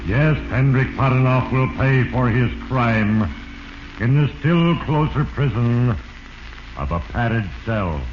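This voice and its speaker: Casting: ominous, solemn spirit of destiny narrating radio melodrama, very slow and portentous, dull vintage sound. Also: male voice, medium pitch, expressive, background noise. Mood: ominous gloating. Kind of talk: 1940s radio acting. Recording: echoey, dull 1940s radio with music behind.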